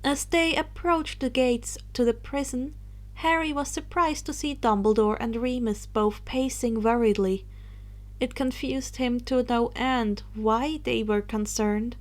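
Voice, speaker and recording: A woman narrates a story in a somewhat high voice. It is an amateur audiobook read, and she now and then trips on a word and fixes it. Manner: stumbles and corrects misread words.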